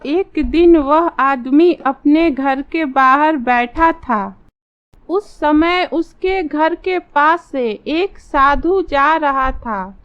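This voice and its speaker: A woman's voice: sits high, drawn-out words, highs cut off. A woman narrating a scripted children's story as a storyteller.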